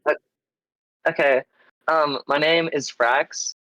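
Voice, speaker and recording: A man's voice: fairly high pitch, steady tone, slow, sharp tone, no echo, poor audio. A man getting over a cold, congested, chatting casually as an interview guest in an informal, meandering way with lots of filler likes. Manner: informal, meandering, heavy use of like. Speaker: interview guest, musician in a band. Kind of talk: casual chat. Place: live online stream.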